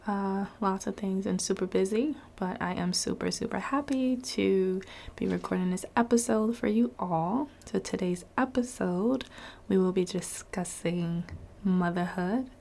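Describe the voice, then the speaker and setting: A woman's voice: medium pitch, measured pace, creaky, warm tone. Chatty woman hosting a podcast. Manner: friendly and chatty, with frequent fillers. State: under the weather. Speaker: podcast host. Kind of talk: casual conversational interview.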